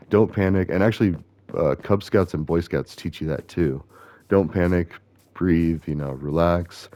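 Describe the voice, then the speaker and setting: A man with a gravelly voice, chatting casually and rambling as he plays a video game, often correcting himself. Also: extremely low pitch, mellow, dry recording. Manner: chatty, meandering, self-correcting. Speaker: gaming video host. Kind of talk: casual rambling commentary while playing.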